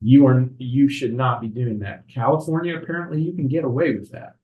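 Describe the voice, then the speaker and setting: A man, an attorney, explaining legal matters in a calm, measured way during a podcast conversation. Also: medium pitch, phone-quality sound. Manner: measured, explanatory, frequent "right?" tags. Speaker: estate attorney.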